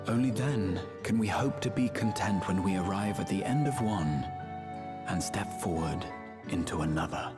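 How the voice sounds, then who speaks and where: An actor playing a calm, wise traveler in a video game cutscene. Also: male voice, deep voice, normal speed, natural voice. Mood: reflective. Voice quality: calm, sage-like. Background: game music.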